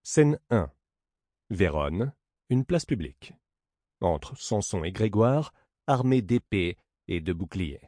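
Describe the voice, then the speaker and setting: A man narrating a classic play as an audiobook, in a measured, declamatory theatrical reading. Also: on the low side, lively intonation, a little mellow, no echo, clean studio sound.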